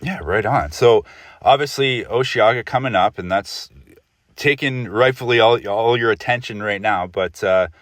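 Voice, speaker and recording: A man's voice: lowish voice, normal speed, fairly bright tone, no room sound, studio quality. A man hosting a casual interview, asking loose, meandering questions in a conversational way.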